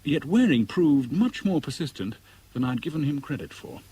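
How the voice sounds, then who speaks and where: Earnest man, animated and searching. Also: fairly high pitch, normal speed, breathy edge, exaggerated voice, poor audio. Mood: brooding. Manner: articulate, literary, earnest. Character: determined, lovelorn man searching Hong Kong for missing woman. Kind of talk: 1970s British radio drama, first-person narration and dialogue. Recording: radio drama with music or club crowd behind.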